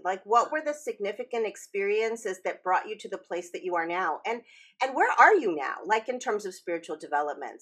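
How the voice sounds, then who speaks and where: A woman's voice: medium pitch, slightly rough. A woman co-hosting a casual interview podcast, inviting and considerate, often checking in as she talks. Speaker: podcast co-host.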